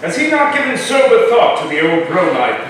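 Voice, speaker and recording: A man's voice: sits high, very animated, fairly quick, a bit bright. A man playing an indignant, declaiming colonial townsman in a staged reading of a historical play. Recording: very echoey room, distant, faint noise.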